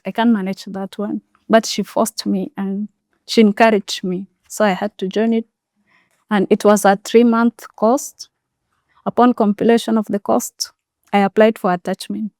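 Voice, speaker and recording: A young woman whose first language is Anuak, a solar installation facilitator, calmly recounting her schooling in an interview. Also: mid-range voice, no echo, studio quality. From South Sudan region, Anuak speaker. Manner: measured, step-by-step storytelling with frequent "so".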